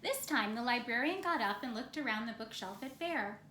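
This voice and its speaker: A woman's voice: a little high, lively intonation, moderate pace, sharp tone. A woman narrating a children's story as she reads it from a picture book. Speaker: storybook reader. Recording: roomy echo, faint background noise.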